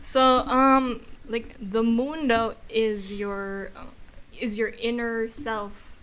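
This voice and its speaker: Female astrology reader, Filipino accent, higher pitch, hesitant and giggly explanatory chat. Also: steady tone, a bit bright, clean tone. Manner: halting, giggly. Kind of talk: casual explaining, code-switching.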